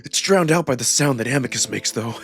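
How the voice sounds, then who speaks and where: A man performing dramatic first-person narration from a scripted story, with expressive, emotional emphasis. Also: mid-range voice, a little fast. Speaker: narrator and voice performer of a visual novel. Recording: music behind the voice. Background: music.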